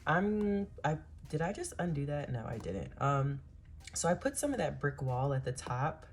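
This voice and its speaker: Woman, 30s, planning-channel host; very low voice, relaxed rambling chat, slightly stuffy from a cold. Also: normal speed. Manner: chatty, many ums, Southern-style "y'all". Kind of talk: casual voice-over while digital planning.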